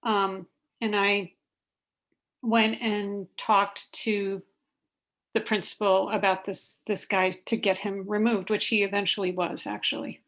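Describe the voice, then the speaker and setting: A woman faculty member introducing herself on a video call, hesitant, with many pauses and fillers. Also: mid-range voice, level tone, fairly bright tone. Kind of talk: casual self-introduction.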